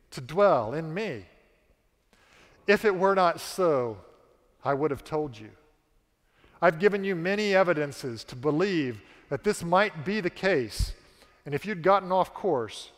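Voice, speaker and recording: A man in his forties preaching a sermon on a large church stage into a headset mic, slow and emphatic with dramatic pauses. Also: high-pitched, fairly bright tone. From American South. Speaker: pastor. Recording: long reverb of a church hall.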